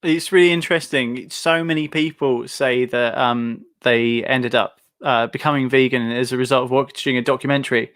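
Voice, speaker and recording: A man's voice: mid-range voice, fairly even intonation, normal speed, few pauses, a bit bright, dry recording, studio quality. A young man hosting a casual video-call interview, enthusiastic and chatty, with many fillers and restarts. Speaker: host.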